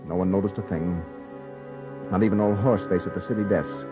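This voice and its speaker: An actor playing a haunted newspaper reporter with a secret killing, in a brooding 1940s noir radio drama. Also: male voice, deep voice, steady tone, close to an everyday voice. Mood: brooding, ominous. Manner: brooding, deliberate first-person storytelling. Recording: muffled 1940s radio recording, music behind.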